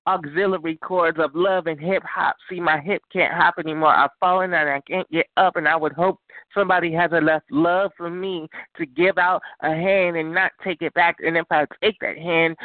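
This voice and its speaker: Guy reciting a spoken word piece down a tinny phone line, kinda high voice, dry. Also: no echo. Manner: rhythmic wordplay delivery. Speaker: call-in poet. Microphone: phone call.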